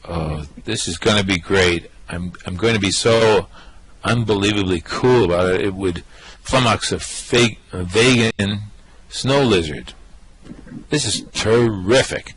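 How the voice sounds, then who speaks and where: A man playing a brash, vain, excitable space rogue in a radio play, with cocky, slangy bluster. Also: on the low side, unhurried, breathy edge, no room sound, low-quality recording. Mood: excited, boastful. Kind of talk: amateur radio play acting.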